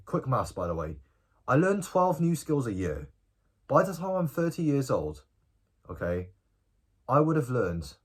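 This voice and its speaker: A man's voice: medium pitch, husky. An eighteen-year-old man, talking casually and informally to camera in a self-improvement vlog. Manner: animated, informal, often tagging lines with "bro" and "okay?".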